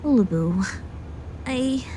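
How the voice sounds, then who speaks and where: A woman's voice: sits high, expressive, takes it slowly, dark tone, slight background noise. A woman playing a conflicted young heroine, speaking hesitantly and with feeling in an amateur audio drama. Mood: tender.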